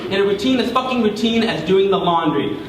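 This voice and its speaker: Young male spoken word poet, high voice, theatrical and deliberate, stretching key words, captured from far off in a noisy hall. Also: expressive, crowd noise. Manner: dramatic, deliberate phrasing with drawn-out emphasis. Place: large room before a live audience. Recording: echoey hall, distant mic, clear background noise.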